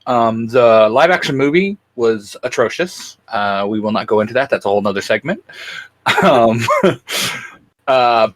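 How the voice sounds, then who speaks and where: A man's voice: mid-range voice, measured pace. A man co-hosting a casual fan chat, giving his opinions in a hesitant, joking manner. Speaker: co-host of a fan discussion show.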